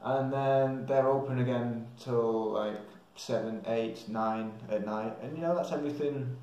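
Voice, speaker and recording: A man's voice: mid-range voice, a little slow, mellow, dull audio, slight background noise. A young man with an English accent rambling casually to camera as a travel vlogger, hesitant and thinking aloud. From England. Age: young adult. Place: small tiled room indoors. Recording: very echoey small hard-walled room.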